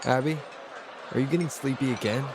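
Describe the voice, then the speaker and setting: An actor voicing a calm, earnest young male hero in a video game, speaking in a measured way. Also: mid-range voice, background noise, lightly acted. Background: game music.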